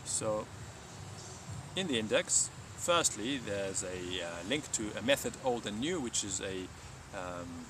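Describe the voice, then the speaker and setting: A man's voice: fairly low pitch, lively intonation, slow, recorded from a distance, noisy background. A man speaking as a teacher hosting a live stream, reading aloud and talking informally.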